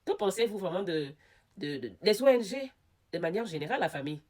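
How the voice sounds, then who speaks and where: A woman's voice: on the low side, sing-song, fairly quick, a little mellow. A woman with a head cold giving casual news commentary, talking straight to her viewers and repeating words for emphasis.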